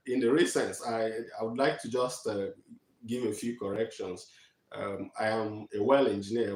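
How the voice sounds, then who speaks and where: A man from Nigeria in his thirties giving a measured online talk from slides to students, with frequent fillers. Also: on the low side, echoey room, poor audio. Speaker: guest speaker. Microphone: video call.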